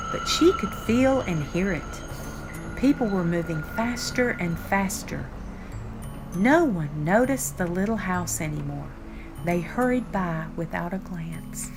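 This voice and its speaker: An older woman reading a children's picture book aloud, with deliberate, expressive phrasing for young listeners. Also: on the low side. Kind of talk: read-aloud storytime narration. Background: background music.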